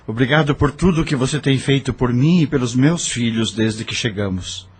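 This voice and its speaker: A man playing a grieving, embittered widower in a melodramatic audio novel, heartfelt and husky. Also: medium pitch, normal speed, close to an everyday voice. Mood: grateful, wistful. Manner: emotional, heartfelt. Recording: music behind the voice.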